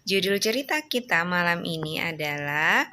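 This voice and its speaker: A woman reading a storybook aloud to her young child at night, gently asking the child questions along the way. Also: lowish voice, expressive, a little hiss or hum. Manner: slow, gentle, coaxing, asks the child questions.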